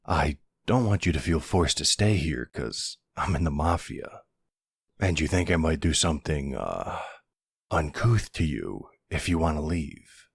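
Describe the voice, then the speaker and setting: Man acting a deep, gravelly, smitten mafia boss. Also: lively intonation, no room sound, clean studio sound, exaggerated voice. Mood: earnest, hesitant. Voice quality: low, gravelly, hushed. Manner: tender, hesitant, unhurried, earnest. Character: soft-spoken, courteous, smitten male mafia boss. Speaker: audio roleplay voice actor. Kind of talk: intimate ASMR boyfriend roleplay.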